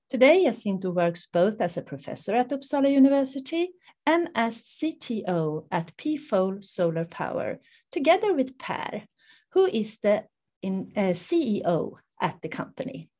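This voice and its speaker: Woman, middle-aged, Swedish accent, mid-pitched, formal seminar host, measured delivery. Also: dark tone. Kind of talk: prepared introduction to an online seminar. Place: indoors in front of a dark backdrop, video call.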